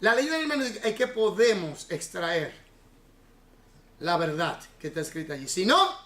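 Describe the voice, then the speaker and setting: A man's voice: high-pitched, big pitch swings, normal speed, sharp tone. A man who is a pastor leading a Bible study class, explaining at length and putting questions to his students. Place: church classroom. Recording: echoey room.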